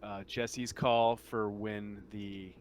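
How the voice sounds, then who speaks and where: A man with a fairly low voice runs a tabletop roleplaying game as game master. He narrates informally and haltingly. There is faint noise behind him. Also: little pitch movement.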